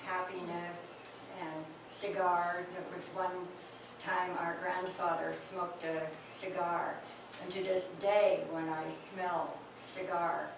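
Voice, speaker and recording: An older woman telling family memories to a small group in a hesitant, reminiscing way, with many pauses and fillers. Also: lowish voice, flat delivery, creaky. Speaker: speaker recalling family memories. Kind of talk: reminiscing oral history talk. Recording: echoey room, distant mic, noisy.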